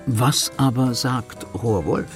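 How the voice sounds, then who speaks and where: A man's voice: medium pitch, slow pace, music in the background. A man delivering formal, erudite scripted narration for a literary radio feature.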